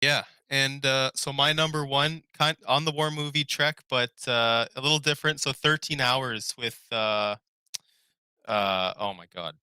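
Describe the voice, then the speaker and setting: Young male podcast co-host, very bright, forward voice, dry close sound, informal and loose delivery. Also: medium pitch, a little slow, smooth, dry recording. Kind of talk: casual chat about favorite movies.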